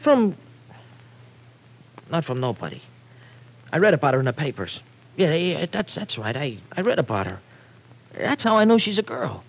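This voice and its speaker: A slangy young errand runner in a classic radio drama. His voice is fairly high and husky, with big swings in pitch. He talks streetwise but stumbles, always dodging and guarding himself. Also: wildly swooping pitch, moderate pace, long pauses, exaggerated voice, rough recording. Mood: evasive, nervous. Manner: streetwise slang, hesitant and stammering under pressure. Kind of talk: old-time radio drama acting.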